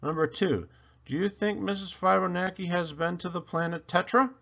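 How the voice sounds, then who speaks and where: A man reading a children's picture book aloud in an animated storyteller style, with dramatic, emphatic phrasing and playful swoops. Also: high voice, normal speed, gravelly, muffled recording.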